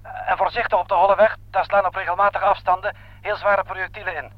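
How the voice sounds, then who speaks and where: A man plays a dutiful ambulance driver at the front in a radio drama. His voice is fairly high, urgent and clipped, reporting like a soldier. The sound is dull and very dry. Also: flat delivery, fast, no echo, lightly acted. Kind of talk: radio play acting.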